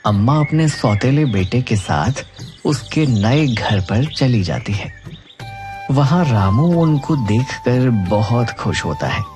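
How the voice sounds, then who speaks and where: Guy narrating a kids story, slow, mid voice, music under it. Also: mid-range voice, very animated. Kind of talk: scripted children's story narration.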